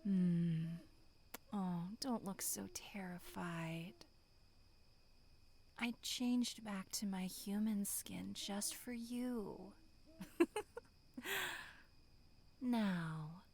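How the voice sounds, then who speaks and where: A woman voicing a sinister, seductive monster in human skin, half-whispering slow, amused taunts in a horror ASMR roleplay. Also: mid-range voice, darkish tone. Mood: taunting, amused.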